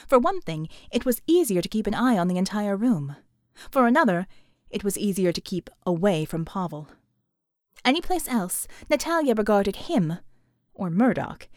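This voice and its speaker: Animated woman narrating fiction, dry close sound. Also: medium pitch, no room sound. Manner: measured, expressive storytelling. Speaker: audiobook narrator.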